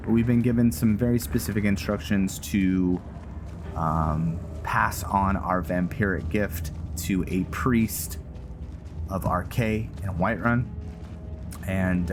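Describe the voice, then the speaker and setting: A man hosting a roleplay game series with casual, halting commentary as he explains lore. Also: low voice, background noise. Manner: halting, thinking aloud.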